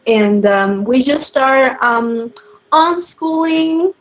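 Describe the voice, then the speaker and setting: Slow, hesitant woman. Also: high-pitched, animated. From Mexico. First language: Spanish. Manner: hesitant, many ums. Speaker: guest. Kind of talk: casual interview answers. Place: home. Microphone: video call. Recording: dull lo-fi video call audio.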